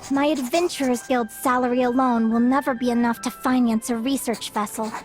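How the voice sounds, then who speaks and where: A woman voicing a cheerful, impulsive young adventurer in a game, bubbly and quick to exclaim. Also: fairly high pitch, fairly even intonation, faint music behind, close to an everyday voice. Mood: grumbling. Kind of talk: game cutscene voice acting.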